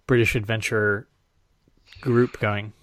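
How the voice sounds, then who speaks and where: A man's voice: on the low side, little pitch movement, slow, fairly bright tone, no echo. A man hosting a podcast, introducing and interviewing his guest. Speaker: podcast host.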